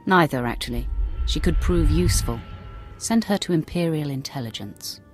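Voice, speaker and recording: An actress playing a merciless Sith acolyte in a video game, speaking in a cool, imperious, sardonic manner. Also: female voice, low-pitched, lively intonation, moderate pace, faint music behind. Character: cold, commanding female Sith acolyte, merciless. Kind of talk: video game cutscene acting.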